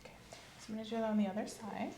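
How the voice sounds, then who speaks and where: Soft-spoken woman giving a gentle exam. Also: mid-range voice, racing, noisy background. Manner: calm, gentle, clinical instructions, slipping into whispers. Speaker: ASMR creator playing a nurse. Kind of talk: ASMR medical examination roleplay. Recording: roomy echo with clear background ambience.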